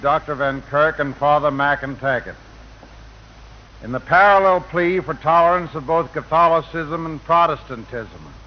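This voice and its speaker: A man, a rabbi, giving a prepared radio address in a measured, formal manner. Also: a little high. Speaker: rabbi, guest speaker. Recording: muffled, noisy 1940s radio recording.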